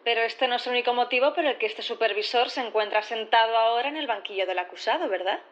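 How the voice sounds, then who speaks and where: An actress playing a formal, composed prosecutor who questions a witness methodically and courteously in a courtroom audio drama. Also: female voice, a little high, quick pace, fairly bright tone, highs cut off, close to an everyday voice.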